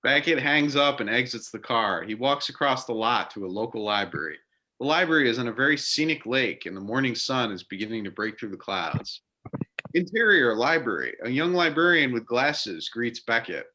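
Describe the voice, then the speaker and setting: A man's voice: medium pitch, lively intonation, normal speed, pushed and bright, rough recording. A man narrating scripted stage directions for a readers theater drama.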